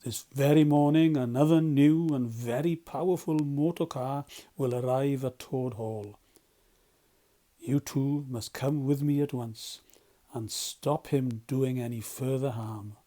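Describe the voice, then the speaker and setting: A grave, commanding badger from a children's storybook, put on by a male narrator. He is emphatic and scolding, with a mellow tone. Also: medium pitch, slow, natural voice. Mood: solemn. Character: stern, solemn male badger, commanding elder friend.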